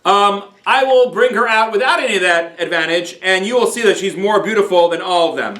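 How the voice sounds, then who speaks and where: A man's voice: sits high, brisk, fairly bright tone. A man voicing a drunken, boastful ancient king in a bragging, insistent tone, heard in an echoey room.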